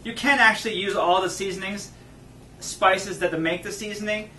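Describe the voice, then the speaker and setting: A man's voice: high-pitched, normal speed, sharp tone, slight background noise. A man in his thirties hosting a comedic cooking show, upbeat and agreeable, often laughing. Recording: echoey room, distant mic.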